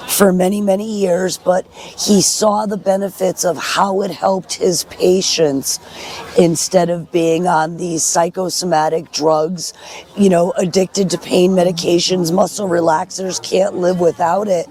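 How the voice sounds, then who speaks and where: A middle-aged woman with a hoarse voice, giving frank, earnest personal testimony as an interview guest at a festival. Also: fairly low pitch, level tone, a little slow, darkish tone. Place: at a festival in a park. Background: music.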